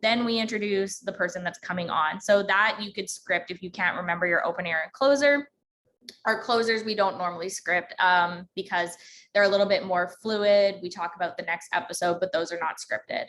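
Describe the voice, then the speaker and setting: Fast-talking woman with a slight Alberta accent. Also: on the low side, a bit bright. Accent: slight central Alberta. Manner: fast talker, many ums. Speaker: podcast host giving a workshop. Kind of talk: informal teaching talk.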